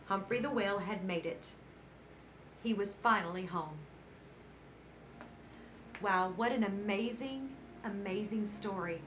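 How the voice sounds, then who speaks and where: A woman reading a picture book aloud to children as host, with dramatic emphasis and warm, encouraging asides. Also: mid-range voice. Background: background music.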